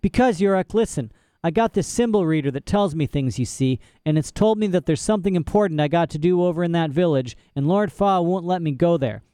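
A man voicing a spirited young girl in a storybook reading, eager and pleading, with a smooth voice in a dry recording. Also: a little high, expressive, brisk, dark tone, dry recording, voice pushed up, lightly acted.

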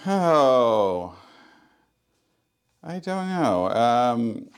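A man working as a librarian, talking loudly and chattily as a radio interview guest, with frequent ums and restarts. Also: medium pitch, very animated, drawn-out words, clear, resonant tone. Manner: loud, chatty, many fillers.

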